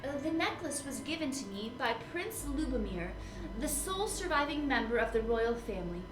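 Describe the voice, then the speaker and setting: Woman playing a high-voiced, flighty, vain film starlet. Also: sing-song, normal speed, noisy background. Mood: wistful. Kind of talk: comic noir audio drama acting. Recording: roomy echo, music underneath.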